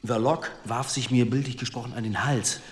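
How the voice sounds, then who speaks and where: An actor playing a shrewd, deferential senior police commissioner in a radio drama, measured, formal and dryly witty. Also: male voice, medium pitch, fairly even intonation, close to an everyday voice.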